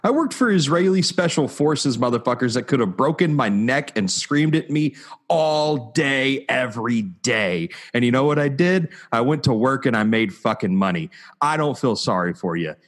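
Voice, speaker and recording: A man's voice: medium pitch, expressive, normal speed. A man co-hosting a casual podcast, blunt and profane, laughing often. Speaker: podcast co-host. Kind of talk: casual chat.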